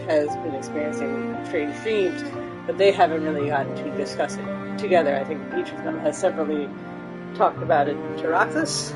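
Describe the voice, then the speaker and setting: A woman with a fairly low voice streams a game over clearly audible music. She comments casually, thinks aloud and checks herself with little questions.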